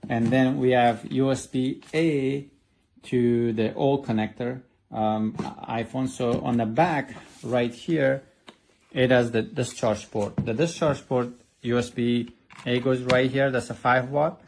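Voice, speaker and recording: A man's voice: mid-range voice, fairly even intonation, slow pace, vocal fry, a little mellow, a little hiss or hum. A man reviewing a product in a casual, hands-on way, explaining step by step with some hesitation. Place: indoors at a desk.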